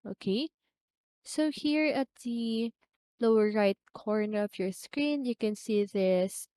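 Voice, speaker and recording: A woman presenting a step-by-step software tutorial in an instructional manner, often checking in with a short question. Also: mid-range voice, no room sound, clean studio sound.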